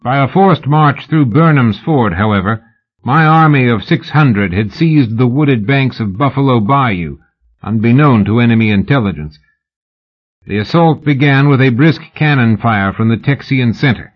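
A man's voice: mid-range voice, expressive, normal speed, lo-fi sound, close to an everyday voice. A man voicing a boastful old general in an audiobook, speaking in a pompous, oratorical way. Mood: boastful oratory. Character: old foreign general, boastful war hero giving pompous speech.

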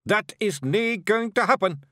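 Male reader as a gruff, commanding Scottish pictsie chief, animated. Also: sits high, normal speed, no echo, studio quality, voice pushed up. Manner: brusque, commanding.

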